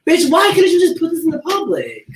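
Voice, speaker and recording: A woman's voice: very high-pitched, wildly swooping pitch, fairly quick, recorded from a distance. A woman co-hosting a casual podcast, brash and opinionated, swearing and using slang, recorded in a roomy space away from the mic. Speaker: podcast co-host. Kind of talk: casual chat.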